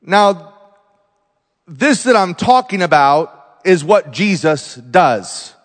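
A man preaching as a visiting missionary, telling healing stories and declaring loudly, rising to exclamations. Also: high voice, very animated, takes it slowly, big-room sound. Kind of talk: pentecostal sermon.

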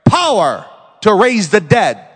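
A man preaching as a visiting missionary, telling healing stories and declaring loudly, rising to exclamations. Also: sits high, wildly swooping pitch, slow, husky, long reverb tail. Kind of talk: pentecostal sermon.

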